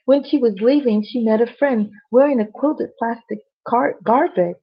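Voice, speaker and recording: A woman storyteller with a husky voice reads a children's bedtime story expressively, with chatty asides to young listeners. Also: on the high side. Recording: dull, lo-fi sound.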